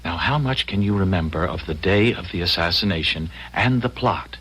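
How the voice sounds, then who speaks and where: An actor playing an earnest, determined time traveler in an old-time radio drama, speaking urgently and dramatically. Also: male voice, low voice, animated, moderate pace, fairly bright tone, husky, full character voice, poor audio. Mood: tense, pressing.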